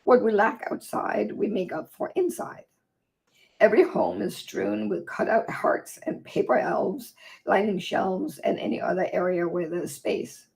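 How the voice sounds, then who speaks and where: A woman from Denmark hosting a casual livestream and reading aloud from her own book, her voice croaky and hoarse from a long day of talking, chatty and easily sidetracked. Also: medium pitch, unhurried, darkish tone, poor audio. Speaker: vlog host and author.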